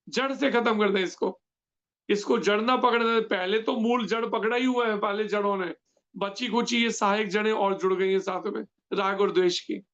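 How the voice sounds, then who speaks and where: A man giving a devotional scripture lecture who explains slowly and keeps quizzing his listeners with questions. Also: high voice, a bit bright, dull audio. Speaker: scripture class teacher.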